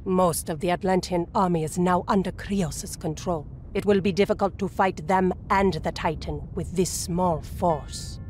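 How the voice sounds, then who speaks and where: A woman's voice: fairly low pitch, fairly even intonation, a little slow, hardly any pauses, darkish tone, slight background noise, close to an everyday voice. An actress playing a resolute, loyal woman warrior leader in a video game, serious and determined. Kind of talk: dramatic video game voice acting.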